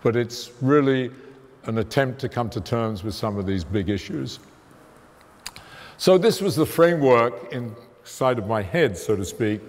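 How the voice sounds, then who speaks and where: Man lecturing loudly in a reverberant hall. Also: on the low side. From immigrant to the United States. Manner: emphatic, conversational, fairly loud voice. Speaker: guest lecturer, theoretical physicist. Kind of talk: public science lecture. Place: museum lecture hall, walking away from the podium. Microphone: room mic, speaking without a handheld mic.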